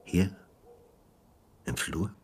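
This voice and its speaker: A man playing a grave, experienced police detective in a radio drama, speaking in a measured, careful, sombre way. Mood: careful, restrained. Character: grave, experienced police detective who delivers death notices. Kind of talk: literary radio drama narration and dialogue.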